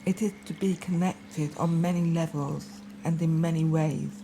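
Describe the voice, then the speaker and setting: A woman poet speaking reflective lines in short, measured, deliberate fragments for an audio documentary. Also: low voice, steady tone, noisy background. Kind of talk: poetic spoken reflection in a documentary audio piece.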